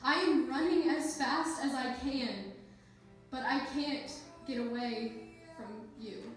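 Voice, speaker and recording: Female spoken-word poet, high pitch, heard from a distance in a heavily reverberant room. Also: slow, faint music behind. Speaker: slam poet. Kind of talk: spoken-word poetry performance. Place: echoey indoor event space, live performance. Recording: very echoey room, distant, faint noise.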